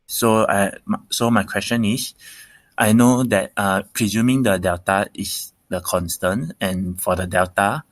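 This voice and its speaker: A man with a very low voice leaves a voicemail question in a dry recording. He talks slowly and haltingly, rewording his phrases as he works through them. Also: no room sound. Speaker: caller leaving a voicemail question. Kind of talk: hesitant spoken question.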